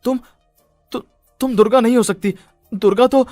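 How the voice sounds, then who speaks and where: A man's voice: extremely high pitch, steady tone, moderate pace, a little mellow, no room sound, faint music behind. A man playing a nervous young husband and writer in a horror story, with an agitated, theatrical delivery. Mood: frightened. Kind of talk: horror audio story acting.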